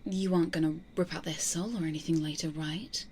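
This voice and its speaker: A woman playing a stubborn, possessive vampire in an ASMR roleplay, speaking in a dry, hushed way. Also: low voice, steady tone, fairly quick, slight background noise, close to an everyday voice. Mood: hesitant, wary.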